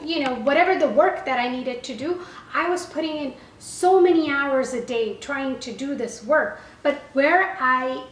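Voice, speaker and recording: Gujarati-native woman teacher chatting business tips, high voice, kinda far from the mic. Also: a little hiss or hum. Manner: conversational, frequent "you know" and self-corrections. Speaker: online language teacher and business owner. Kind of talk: casual advice talk to camera. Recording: roomy echo, a little far from the mic.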